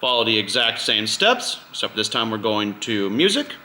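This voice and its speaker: A man's voice: on the low side, sing-song, normal speed, pushed and bright, reverberant, a little hiss or hum. A man hosting a casual step-by-step tutorial in an informal, conversational way. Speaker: video host giving a drone tutorial.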